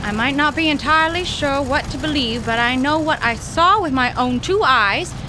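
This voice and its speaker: A woman playing a brisk, bold young woman. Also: high voice, noisy background, lightly acted. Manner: folksy sayings, brisk and impatient.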